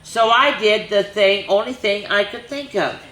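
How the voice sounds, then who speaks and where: A woman's voice: mid-range voice, a little slow, a bit bright, echoey room, rough recording. A woman reading a rhyming picture book aloud to children, with chatty asides between pages. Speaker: children's storyteller.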